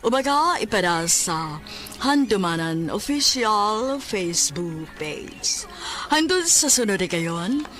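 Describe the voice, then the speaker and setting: A woman announces a radio drama in a slow, measured broadcast style. Her accent is Cebuano and her delivery is formal, with faint music underneath. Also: mid-range voice, very animated, a bit bright. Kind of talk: scripted broadcast narration.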